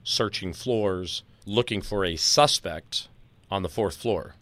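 A man hosting a talk, discussing audio clips in a serious, deliberate, analytical way. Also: low voice, a bit bright, dry recording. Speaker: podcast host.

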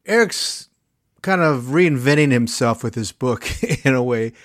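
A man's voice: mid-range voice, expressive, a little slow, dry recording. Chatty male podcast host, dry sound. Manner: chatty, enthusiastic, rambling with fillers. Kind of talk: interview and show promotion.

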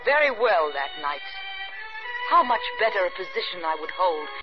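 An actress in 1950s radio suspense style, playing a cold, calculating wife who murders her husband, composed and deliberate. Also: fairly low pitch, very animated. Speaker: actress narrating in first person. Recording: muffled vintage radio recording with music.

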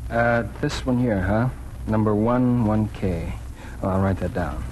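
An actor playing an amiable, wry small-town newspaper editor in a 1940s radio drama. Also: male voice, lowish voice, measured pace, darkish tone, lightly acted. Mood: kindly, helpful. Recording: noisy old 1940s radio recording.

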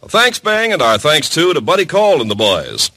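A man giving an upbeat, brisk sign-off as a 1950s radio announcer. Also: fairly high pitch, huge pitch swings, pushed and bright, no echo.

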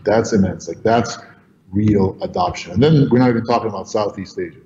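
Energetic man in an echoey room. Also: fairly low pitch, fairly quick, crowd noise. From Egypt, raised in New York. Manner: energetic, rambling, repeats words. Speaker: crypto company founder. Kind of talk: enthusiastic interview answers. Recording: roomy, echoey, dull audio with faint noise.

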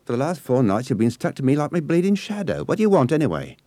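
A man voicing a brash young Cockney working girl, talking bluntly and loudly in a Cockney accent. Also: medium pitch, huge pitch swings, quick pace, no room sound, pitched above the performer's own voice. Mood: brash, scolding. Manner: blunt, loud and scolding.

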